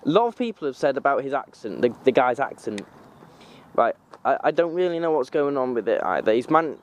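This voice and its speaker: A young man with a slight Manchester accent talks to camera outdoors, his pitch sitting in the middle range. He rambles in an informal way and keeps correcting himself as he goes. The style is a loose, casual vlog recorded on a handheld camera. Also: big pitch swings, fairly quick, a little hiss or hum. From North Wales. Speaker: vlogger.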